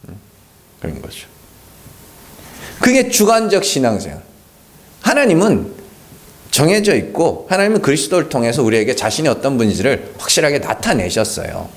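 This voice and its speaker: A man's voice: mid-range voice, normal speed, pauses often. A male pastor preaching a sermon at a Friday prayer meeting, speaking conversationally and emphatically, often firing rhetorical questions at the congregation. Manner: emphatic and animated. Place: church sanctuary.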